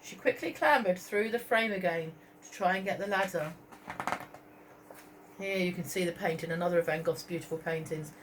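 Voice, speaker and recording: Woman, primary teacher, expressive storytime read-aloud, talks to young listeners, faint background noise. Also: on the low side, normal speed, low-quality recording. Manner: expressive, asks the listeners questions and points out pictures.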